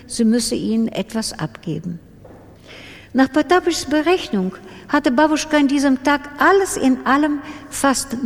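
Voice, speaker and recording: A woman with a standard German accent reading literary prose as a radio narrator. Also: high voice. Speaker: literary reader in a radio production. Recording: studio reading with long reverb.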